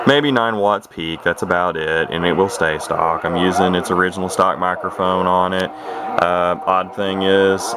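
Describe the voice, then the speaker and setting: Middle-aged male hobbyist, very low voice, plainspoken and rambling, casual demo over clear radio noise. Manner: folksy, plainspoken, hesitant and rambling. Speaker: vintage radio hobbyist and demonstrator. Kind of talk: casual unscripted product demonstration. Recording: noisy, with radio static behind. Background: CB radio noise from its speaker.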